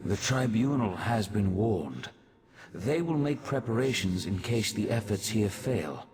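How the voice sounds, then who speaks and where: An actor playing a calm, calculating ancient sage in a fantasy video game, speaking in a measured, detached, analytical way. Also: male voice, fairly low pitch, husky, full character voice. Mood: detached, pondering.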